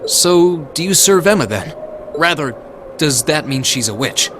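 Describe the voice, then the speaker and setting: A man's voice: on the high side, animated, measured pace, noisy background. A man playing an earnest, stubborn young male hero in a video game, speaking with open emotion. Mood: curious, wry.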